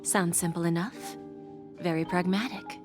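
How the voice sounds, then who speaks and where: A woman voicing a mysterious, seductive businesswoman in a video game, teasing and unhurried, often dropping to a breathy near-whisper. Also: fairly low pitch, fairly even intonation, natural voice. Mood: composed, teasing. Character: mysterious, seductive, unhurried businesswoman who grants wishes at a price. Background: background music.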